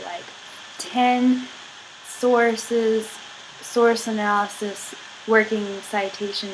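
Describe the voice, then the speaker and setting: Chatty young woman with a sick, husky voice. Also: medium pitch, background noise. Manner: chatty, filler-heavy, giggly, says "like" constantly. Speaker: vlogger. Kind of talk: rambling casual vlog rant.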